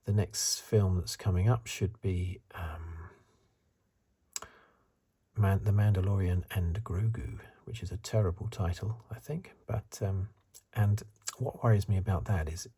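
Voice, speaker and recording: A man giving soft-spoken ASMR commentary in a breathy, husky, partly whispered voice, musing haltingly and offering his opinions close to the microphone. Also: deep voice, steady tone. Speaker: host of an ASMR review channel.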